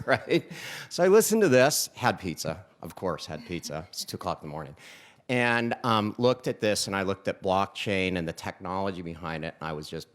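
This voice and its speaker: A man on a conference stage, telling a lively founder's story as a panelist. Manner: animated storyteller, pitch jumps for emphasis. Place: conference stage before an audience.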